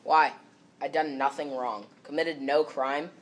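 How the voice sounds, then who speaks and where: A man's voice: fairly high pitch, fairly even intonation, measured pace, fairly bright tone, a little hiss or hum. A seventh-grade boy performing a scripted readers theater monologue. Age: about 12 to 13, seventh grader.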